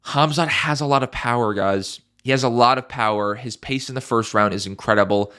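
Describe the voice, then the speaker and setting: A young man talks through upcoming fights in a loose, emphatic way, repeating his strongest points and cursing casually. His voice is congested and stuffy. The tone is bright and forward, at a middling pitch. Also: fairly quick, clean tone. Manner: emphatic, repeats key phrases, swears casually. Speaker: MMA YouTuber.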